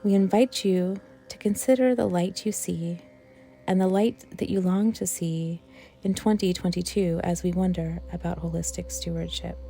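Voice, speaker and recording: A woman leading a guided meditation for worship, speaking in a gentle, reflective manner with unhurried, pausing phrases. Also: lowish voice, fairly even intonation, creaky, faint music behind. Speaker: worship leader guiding a meditation.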